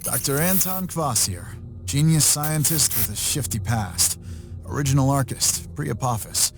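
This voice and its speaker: A tough young male ranger speaks in a mid-pitched voice, terse and understated. He has the dry resolve of a video game hero, with loud music underneath. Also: animated, a little slow. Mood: wry, uneasy. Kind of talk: video game cutscene acting.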